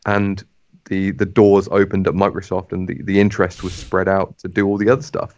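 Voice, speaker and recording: A man's voice: low-pitched, monotone, a little fast, a little mellow, lo-fi sound. A man co-hosting a live podcast, rambling through ideas and speculation with a laugh. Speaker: podcast co-host. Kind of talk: casual live-stream chat.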